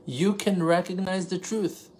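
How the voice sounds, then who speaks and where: A man's voice: sits high, fairly even intonation, a little mellow, a little hiss or hum, poor audio. Israeli man giving an earnest religious lesson. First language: Hebrew. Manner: earnest, emphatic, rhetorical questions; halting, pauses and self-corrections while translating. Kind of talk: spontaneous religious teaching.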